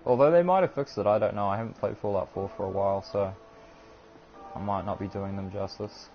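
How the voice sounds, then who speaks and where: A man's voice: lowish voice, fairly quick, faint music behind. A young man with a New Zealand accent chatting casually and self-deprecatingly to viewers while he streams a game. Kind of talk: casual live-stream commentary. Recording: dull lo-fi stream audio with background noise.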